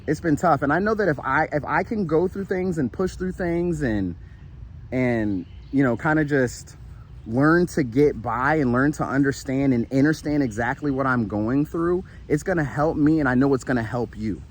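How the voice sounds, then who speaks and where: A man's voice: mid-range voice, moderate pace. A man giving an earnest spiritual pep talk while he walks outdoors in the shade, tired and sweating, often repeating himself and asking "right?". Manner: earnest, repetitive, with frequent "right?" and restarts; walking while he talks. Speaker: spiritual motivational vlogger. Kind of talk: casual encouraging monologue.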